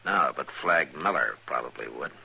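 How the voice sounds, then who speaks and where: A man playing a stern, steady frontier marshal in a western radio drama, speaking in a firm, terse, authoritative way. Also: a bass voice, moderate pace, gravelly, breathy edge, full character voice. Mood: stern, pressing. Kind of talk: old-time radio western drama acting. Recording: dull, lightly noisy old radio recording.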